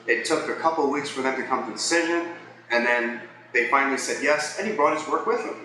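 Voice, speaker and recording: Male vlogger, mid-pitched, conversational and explanatory, talking to viewers in a very reverberant space with people audible behind. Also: noisy background. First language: English. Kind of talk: casual advice talk to viewers. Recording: echoey space with crowd chatter behind.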